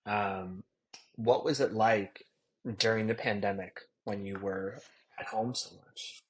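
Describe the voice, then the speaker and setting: A man hosting an interview podcast, asking questions and reacting with quick enthusiasm. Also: lowish voice, fairly even intonation, breathy edge, low-quality recording. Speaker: podcast host.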